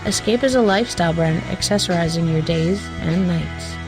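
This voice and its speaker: A woman reading a radio ad in a smooth, aspirational way. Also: fairly low pitch, normal speed, poor audio. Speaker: ad announcer. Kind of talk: scripted radio ad read. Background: guitar music.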